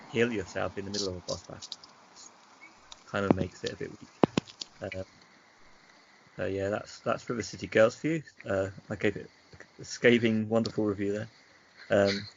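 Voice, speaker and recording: A man's voice: on the low side, vocal fry. A man co-hosting a podcast, chatting casually about games in a hesitant, rambling way. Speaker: podcast co-host. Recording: dull lo-fi sound with faint noise.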